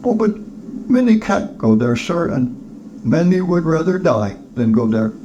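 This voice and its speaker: Guy doing proper charity gentleman, higher pitch, super polite, earnest, kinda stammery, storybook, noisy bg. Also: big pitch swings, measured pace, lo-fi sound. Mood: hesitant, pleading. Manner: formal, deferential, stammering.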